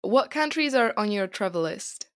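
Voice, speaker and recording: A woman's voice: medium pitch, lively intonation, moderate pace, a bit bright. Woman interviewer asking brief questions.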